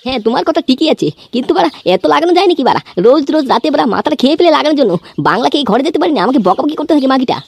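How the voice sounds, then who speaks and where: A man's voice: very high voice, expressive, racing, creaky, hardly any pauses, no echo, exaggerated voice. A man voicing a grumbling, henpecked drunkard husband in an amateur comedy cartoon, complaining and foul-mouthed. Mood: exasperated.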